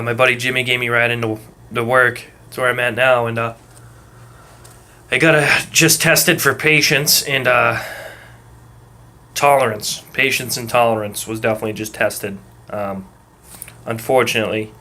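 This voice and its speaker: Calm, rambling man with a muddled Massachusetts accent. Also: medium pitch, moderate pace, pauses often. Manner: calm, rhythmic, relaxed, swears casually, many ums and uhs. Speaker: vlogger. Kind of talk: casual rambling monologue. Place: at work.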